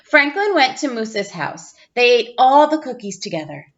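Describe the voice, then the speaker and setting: Animated woman reading a children's storybook. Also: fairly high pitch, normal speed, muffled recording. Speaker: storybook reader, teacher. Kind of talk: expressive children's storybook read-aloud.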